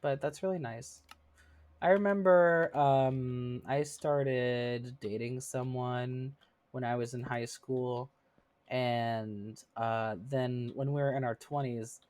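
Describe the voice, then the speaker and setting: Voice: medium pitch, fairly even intonation, slow, a little mellow. Chatty thirty-year-old streamer. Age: 30s. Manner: chatty, laughs often, many fillers. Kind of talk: casual live-stream chat with viewers.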